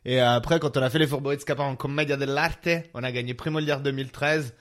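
A man's voice: mid-range voice, steady tone, fast, a bit bright. Casual man with a slight Italian accent. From Italy. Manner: slangy, joking. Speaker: guest, stage actor. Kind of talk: casual chat, storytelling.

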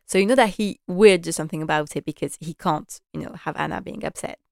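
A woman's voice: low-pitched, quick pace, vocal fry, dry recording, studio quality. A young woman chatting through a casual episode recap, enthusiastic and full of "like" and "you know" fillers. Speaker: podcast host recapping a TV drama.

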